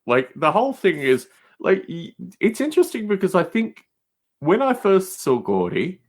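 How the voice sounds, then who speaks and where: Animated man in his forties chatting. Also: sits high, moderate pace. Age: 40s. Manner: emphatic, repeats phrases for emphasis. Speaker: podcast guest. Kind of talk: casual podcast chat.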